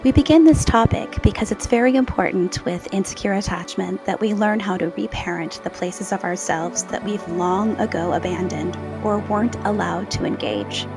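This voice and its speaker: Female meditation guide, mid pitch, gentle soothing pauses, clear new-age music bed. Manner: slow, soothing, soft-spoken with gentle pauses.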